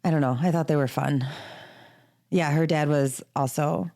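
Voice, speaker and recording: A woman's voice: sits low, fairly even intonation, moderate pace, creaky, mellow. A woman co-hosting a podcast in a casual, informal conversation about books. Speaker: podcast co-host. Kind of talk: casual book-discussion chat.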